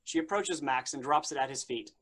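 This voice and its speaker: A man's voice: medium pitch, a little fast, a bit bright, breathy edge. A man reading stage directions aloud as the narrator of a staged play reading.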